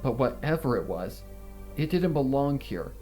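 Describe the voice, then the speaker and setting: A frightened pony read by a man confesses in a shaky, halting, dramatic narration. The pitch sits in an ordinary male middle, and music plays underneath the horror story. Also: big pitch swings, warm tone, lightly acted. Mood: guilty, haunted. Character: frightened, guilt-ridden young female pony narrator. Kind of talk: dramatic first-person horror fan fiction reading.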